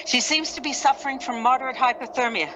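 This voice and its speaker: A woman's voice: sits high, fast talker. A woman playing a female rescue officer reporting over two-way radio in a radio play, procedural and a little hesitant. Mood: businesslike, uneasy. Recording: muffled radio sound, loud background noise.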